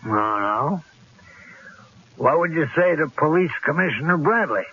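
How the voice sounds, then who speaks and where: Menacing radio gangster, rough husky voice, tough street slang, dull old broadcast sound. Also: male voice, fairly high pitch, expressive, unhurried, sharp tone, full character voice. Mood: boastful. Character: cocky, menacing gangster killer holding a couple at gunpoint. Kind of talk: 1970s radio drama acting.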